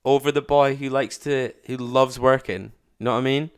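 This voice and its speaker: A man giving casual, slangy reaction commentary as a streamer, with blunt loud outbursts. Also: medium pitch, steady tone, normal speed, clean tone.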